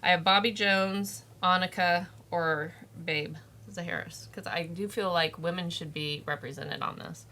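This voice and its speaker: Bright-toned woman chatting on a podcast. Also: lowish voice, fairly even intonation, unhurried. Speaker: podcast co-host. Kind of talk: casual podcast banter and questioning. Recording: noticeable background noise.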